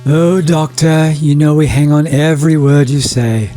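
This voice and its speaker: A man's voice: on the high side, fairly even intonation, a little slow, dark tone, slight background noise, close to an everyday voice. A man playing a wry, wordy young companion in an audio drama, prone to sarcasm and self-pity. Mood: flustered, sarcastic. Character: sarcastic, self-pitying young male companion, amateur psychoanalyst.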